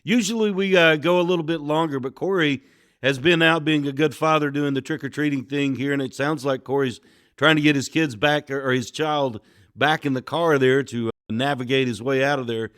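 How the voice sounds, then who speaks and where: A man hosting an interview podcast, asking questions in an affable, steady way. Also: on the high side, fairly quick, clean tone, dry recording, clean studio sound. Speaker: podcast host.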